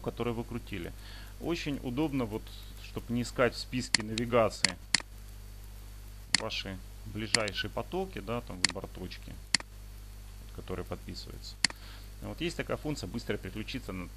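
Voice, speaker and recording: A man giving an unscripted software tutorial, explaining step by step in a halting way over a screen recording. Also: mid-range voice. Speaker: instructor demonstrating paragliding flight software. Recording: clearly noisy home screencast with clicks.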